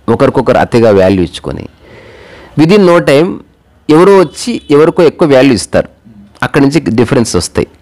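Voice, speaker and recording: A man's voice: mid-range voice, darkish tone. A man tells moral stories and personal anecdotes in a casual, conversational way, acting out bits of dialogue and mixing in English words. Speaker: storyteller.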